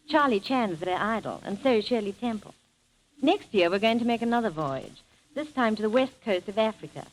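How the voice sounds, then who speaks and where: A woman telling travel tales as a guest in a scripted 1930s radio interview, with a polished, formal delivery. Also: on the high side. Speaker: guest traveller and film-maker. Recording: faintly noisy 1930s radio broadcast.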